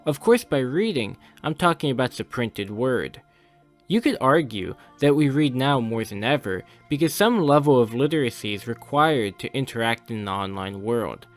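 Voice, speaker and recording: A man reads a scripted video essay in a measured, formal, articulate way. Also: mid-range voice, lively intonation. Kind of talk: scripted narration. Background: music under the voice.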